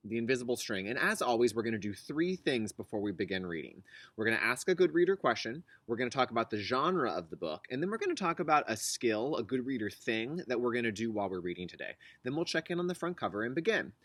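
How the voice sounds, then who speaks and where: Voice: mid-range voice, very animated, quick pace, fairly bright tone, no room sound. A kindergarten teacher leading a read-aloud lesson for young children, instructive, with simple wording and repeated key phrases.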